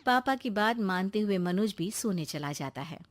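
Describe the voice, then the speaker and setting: A woman's voice: medium pitch, lively intonation, normal speed. A woman storyteller reading a scripted cartoon story in a dramatic narrating style.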